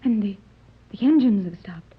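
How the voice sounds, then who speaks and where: A woman's voice: medium pitch, lively intonation, normal speed, breathy edge. An actress playing an anxious, earnest wealthy woman searching for her daughter in a 1930s radio drama. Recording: muffled old radio transcription with loud hiss.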